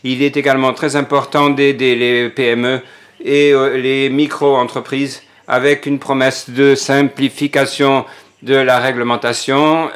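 A man giving live interpretation of a parliamentary speech. His pitch sits in the middle of the usual range. He talks in halting stretches, filling the gaps with drawn-out pauses and restarts. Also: fairly bright tone. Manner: hesitant, frequent filler pauses. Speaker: simultaneous interpreter.

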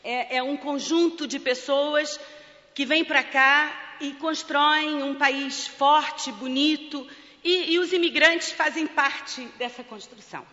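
High bright Brazilian woman in a hall. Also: steady tone, slow pace. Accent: Brazilian Portuguese. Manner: emotional, joking, fighting back tears. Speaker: charity president and clown. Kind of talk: award acceptance speech. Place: large parliament hall. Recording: lo-fi with long hall reverb.

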